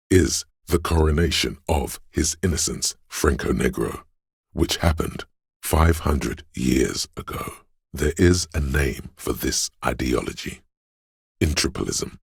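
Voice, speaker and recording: A man voicing a know-it-all inner voice of encyclopedic trivia in a video game, measured, pedantic and dryly wry. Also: a bass voice, vocal fry, slightly rough, dry recording, studio quality, exaggerated voice.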